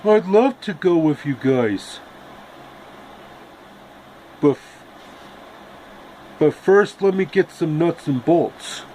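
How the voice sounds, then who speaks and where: A man voicing a wistful tin man from a storybook, rambling and halting as he talks. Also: on the high side, expressive, dark tone, slight background noise. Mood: eager, wistful. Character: wistful, chatty tin man pining for lost love.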